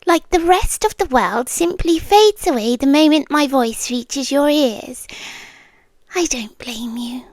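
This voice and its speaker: A British woman playing a soothing, possessive hypnotist girlfriend in an ASMR roleplay, speaking softly and breathily, often in a whisper, close to the microphone. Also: sits high, dry recording. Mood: soothing, hypnotic. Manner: slow, hypnotic, coaxing.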